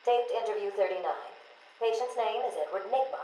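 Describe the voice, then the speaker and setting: A woman playing a measured, patient psychiatrist in a game, with a formal, clinical and quietly weary manner. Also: mid-range voice, reverberant, slight background noise, lightly acted, low-quality recording. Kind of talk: video game voice acting.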